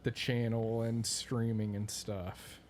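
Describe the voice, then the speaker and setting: Soft-voiced young man, casual podcast co-host, faint ambience behind. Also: medium pitch, flat delivery, takes it slowly, slight background noise. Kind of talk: casual chat.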